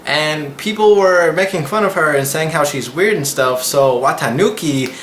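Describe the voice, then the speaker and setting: A man in his twenties gives a casual, slangy vlog recap. His voice sits a little high and is bright and forward. The room is echoey, and he rambles loosely through his review. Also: expressive, moderate pace. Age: 20s. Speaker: anime reviewer and channel host. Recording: echoey room with faint background noise.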